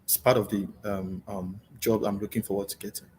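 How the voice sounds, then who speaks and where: A man's voice: fairly low pitch, little pitch movement, brisk, darkish tone, slight background noise. A Nigerian man answering interview questions about his work, politely thanking the host again and again. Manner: polite, repeats "thank you so much". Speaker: job seeker guest.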